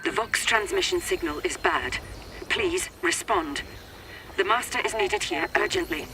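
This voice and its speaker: A gravelly covert agent, crackling radio. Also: female voice, medium pitch, wildly swooping pitch, measured pace. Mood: urgent. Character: covert Imperial agent, solemn, zealous and insistent. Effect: radio transmission. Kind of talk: scripted sci-fi radio transmission. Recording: very noisy, crackling radio transmission.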